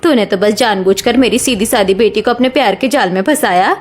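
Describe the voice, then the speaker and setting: A woman's voice: mid-range voice, fairly quick, soft background music, natural voice. A woman voicing a harsh middle-aged mother-in-law who scolds and mocks, in a cartoon story. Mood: scornful. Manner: scolding, taunting. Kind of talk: Hindi cartoon story dialogue.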